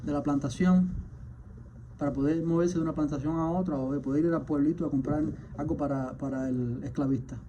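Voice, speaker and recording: A man, a physician, delivering an impassioned spoken message, indignant and firing off rhetorical questions, at times surging louder. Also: fairly high pitch, steady tone, brisk. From Cuba. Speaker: physician, rheumatologist. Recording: dull lo-fi sound with faint background noise.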